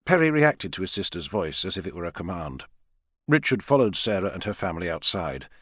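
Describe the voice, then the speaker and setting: A man's voice: on the low side, fairly bright tone, dry recording, clean studio sound. A man reading a novel aloud as a scripted audiobook narration.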